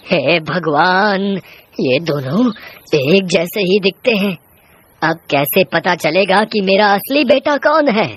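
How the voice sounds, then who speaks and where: Woman as bewildered old village father, husky. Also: on the low side, animated, takes it slowly, full character voice.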